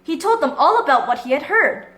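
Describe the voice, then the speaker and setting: A woman narrating a children's book in an expressive read-aloud style, recorded in an echoey room. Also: high-pitched, a little fast.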